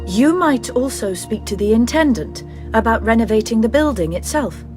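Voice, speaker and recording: An actress playing a brisk, composed agent of a secret order in a video game, businesslike and matter-of-fact. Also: female voice, on the high side, expressive, music in the background, natural voice. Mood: businesslike, helpful. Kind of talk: video game cutscene acting.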